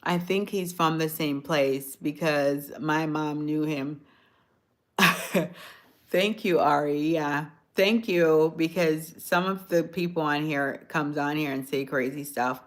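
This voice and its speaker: A woman from Jamaica chatting on a phone livestream, answering viewers' comments in a soft-spoken, sometimes hoarse voice. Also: deep voice, slow pace. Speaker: livestream host. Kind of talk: casual livestream chat with viewers.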